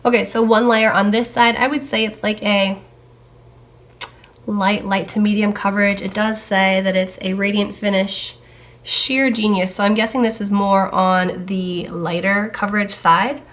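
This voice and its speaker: A young woman, slightly hoarse from a cold, chatting casually as she tries out makeup products on camera. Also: mid-range voice, little pitch movement, measured pace, a bit bright. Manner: chatty, talking while applying makeup. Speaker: beauty vlogger.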